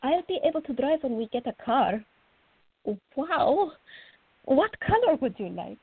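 Fiery teenage girl played by a woman, very high-pitched, playful and pleading, radio drama, dry dull sound. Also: sing-song, measured pace, no echo, full character voice. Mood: excited, pleading. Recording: dull lo-fi recording.

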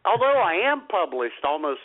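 Middle-aged male radio comedy co-host, lively swooping delivery, slightly high voice, wry, on phone-like audio. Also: moderate pace. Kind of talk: casual comedic banter. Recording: tinny, phone-like band-limited audio.

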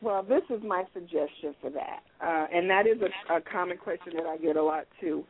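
A woman manager who is a guest on a radio show, giving measured, practical advice. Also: fairly low pitch. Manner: measured, practical, explaining step by step. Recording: tinny phone line.

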